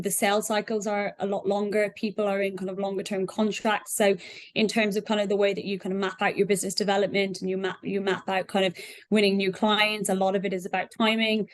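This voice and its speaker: Woman giving conversational interview answers. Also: mid-range voice. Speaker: software sales representative, guest.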